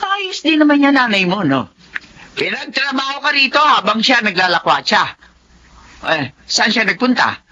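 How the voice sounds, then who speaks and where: Disapproving old Filipino grandma; piercing, wildly expressive delivery, radio serial, slightly muffled. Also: female voice, on the high side, moderate pace, full character voice. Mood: scornful, concerned. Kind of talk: Filipino radio drama acting.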